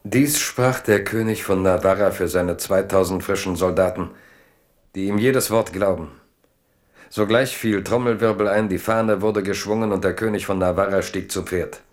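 A man's voice: low voice, moderate pace. A man narrating a literary radio play from a script as its storyteller.

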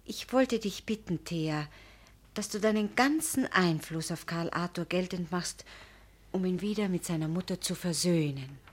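Casting: earnest, proud young lady for radio drama, lowish voice, direct, emphatic. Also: normal speed, slightly rough. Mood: earnest, contrite. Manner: direct, emphatic, pleading or drily pointed. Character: proud, earnest, frank young woman engaged to marry. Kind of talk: German radio drama acting.

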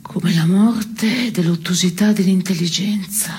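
An actress playing a dry, needling woman in absurdist theatre, clipped and deadpan, often slipping toward a whisper. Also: on the low side, measured pace, slight background noise, lightly acted. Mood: deadpan, dark. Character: dry, needling woman musing darkly on morals. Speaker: stage actress. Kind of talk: scripted absurdist theatre dialogue.

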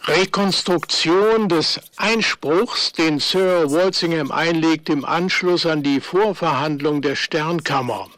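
An actor in a German radio drama playing a formal male clerk reading out a record in a measured, official manner. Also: fairly high pitch, a bit bright, dry recording.

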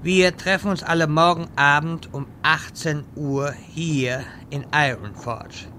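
A man playing a wise, exasperated old wizard in a fantasy parody radio play, speaking deliberately and dryly. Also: a little high, pushed and bright, slight background noise. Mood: commanding. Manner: slow, deliberate, dry.

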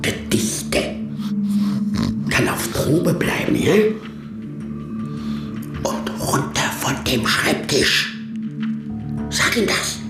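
Blunt grumbling editor boss, lively swooping intonation, dismissive, radio play, roomy sound, loud music. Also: male voice, medium pitch, extremely slow, vocal fry, long pauses, exaggerated voice. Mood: grumbling, bossy. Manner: animated, muttering, dismissive. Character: brusque, grumbling newspaper boss, blunt and mocking. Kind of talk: German radio play dialogue.

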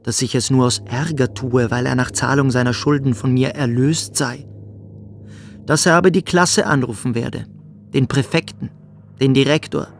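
An actor playing a smug, quietly cruel young boarding-school pupil, telling his story theatrically in a radio play. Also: medium pitch, steady tone, moderate pace, gravelly, slight background noise, close to an everyday voice. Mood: gloating. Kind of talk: dramatic first-person storytelling in a radio play.

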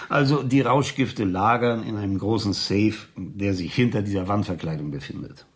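Casting: meek, courteous middle-aged English chemist, low husky voice, wistful dreamer, vintage radio thriller. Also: male voice, normal speed, dry recording. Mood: earnest, precise. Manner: deferential, polite, wordy. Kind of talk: 1970s German radio crime drama acting.

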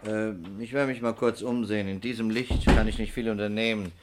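An actor playing a measured, sceptical fire investigator in a 1960s German radio crime drama, speaking with deliberate understatement. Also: male voice, lowish voice, fairly even intonation, very noisy, close to an everyday voice.

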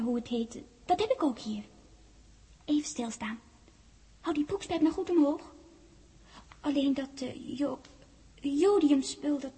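An actress playing a lively, bossy ten-year-old girl in a childlike, chatty way, in 1960s Dutch radio drama style. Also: sits high. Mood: bossy, fussing. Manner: childlike, chatty, searching for words. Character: lively, bossy little girl on her tenth birthday. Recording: husky-free vintage 1960s radio recording.

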